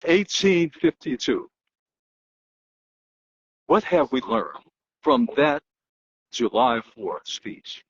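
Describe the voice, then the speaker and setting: A man giving an emphatic, declamatory dramatic reading in the manner of a public orator, with weighty pauses and a husky voice. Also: mid-range voice, sing-song, a little hiss or hum. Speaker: political analyst and host. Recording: dull, lo-fi muffled sound.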